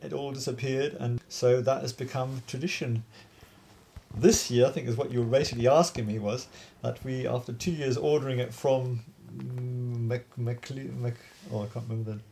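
A man chatting in an interview about organizing a dance event, halting with many restarts and laughs. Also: mid-range voice, fairly even intonation, creaky, mellow. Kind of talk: casual interview chat.

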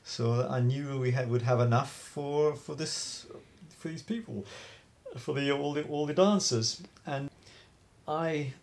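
A man chatting in an interview about organizing a dance event, halting with many restarts and laughs. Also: mid-range voice, vocal fry, a little mellow, slight background noise. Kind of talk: casual interview chat.

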